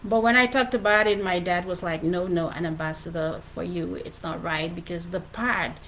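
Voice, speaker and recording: A woman's voice: on the low side, fairly even intonation, normal speed, a bit bright, slight background noise, low-quality recording. A middle-aged woman with a Cameroonian accent gives an informal motivational livestream talk as an author, speaking earnestly and emphatically and often repeating phrases. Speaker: author presenting her book.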